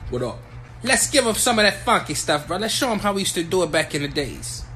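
A man's voice: high-pitched, big pitch swings, fast talker, sharp tone, a little hiss or hum, exaggerated voice. A man voicing a funky, hyped-up lion in a comic animal voice-over. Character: funky, hyped-up lion egging on his singing buddy.

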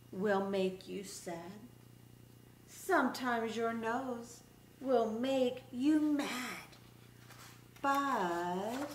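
A middle-aged woman with a fairly high voice reads a children's picture book aloud like a teacher. She goes extremely slowly and expressively, stretching out words for young listeners. The room is echoey, recorded from a short distance. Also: a bit bright. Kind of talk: children's story time read-aloud. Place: small indoor room set up as a classroom. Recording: roomy echo, background room noise.

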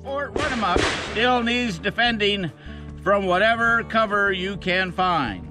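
A man's voice: sits high, animated, measured pace, music in the background. An older man giving procedural, matter-of-fact instructions as a range officer at an outdoor shooting match.

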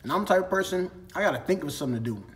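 A man's voice: high-pitched, expressive, rapid-fire. A man in his twenties from Minnesota, chatting casually through a Q&A vlog while eating, filmed on a phone in a storage room. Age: 20s. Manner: laid-back slangy chat, eating while talking. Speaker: vlogger. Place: storage room with shelves and ladders, indoors.

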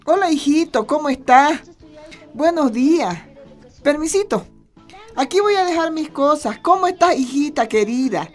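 A woman's voice: high-pitched, animated, normal speed, soft background music. An actress with a Bolivian accent playing an affectionate, protective grandmother who speaks her mind in a radio drama. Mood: cheerful, affectionate. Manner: doting, then firmly reproving.

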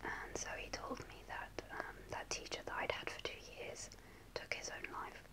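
Young woman doing asmr whisper, lots of ums, breathy and close, small room. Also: moderate pace. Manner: soft unvoiced whisper, hesitant with many ums. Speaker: ASMR creator. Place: small indoor room before a starry backdrop. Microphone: close to a camera at face level.

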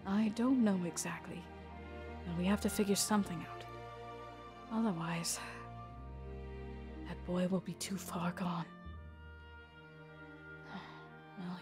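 A woman voicing a thoughtful young adventurer in an anime audio drama, phrasing things deliberately with pauses. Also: mid-range voice, a little mellow, faint music behind, natural voice.

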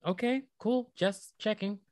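A man's voice: high voice, measured pace, a little mellow, dry recording, clean studio sound. A man playing a cheerful, doting young husband in a radio drama, casual and upbeat. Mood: gently concerned. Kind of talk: naturalistic radio drama dialogue.

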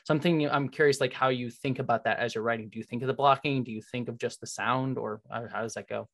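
A woman's voice: very deep voice, fairly even intonation, fast. A woman in her thirties hosting a casual interview over a video call, speaking into a studio microphone. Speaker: host, interviewer. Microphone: large microphone on a boom arm.

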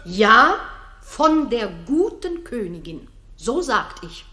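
Fearless noblewoman for classic radio drama: outspoken, declamatory, slow deliberate delivery. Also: a little high, very animated, bright, forceful tone, heavily acted. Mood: defiant. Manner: bold, declamatory. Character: fearless, outspoken, indignant noble lady-in-waiting. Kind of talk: 1960s German radio drama acting. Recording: roomy 1960s radio studio recording.

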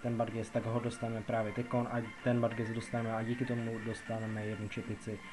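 A man's voice: lowish voice, completely flat delivery. A man from the Czech Republic giving a casual, rambling game tutorial, repeating himself as he explains. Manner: rambling, repetitive explaining with filler words. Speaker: gaming video narrator. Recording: music behind the voice. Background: background music.

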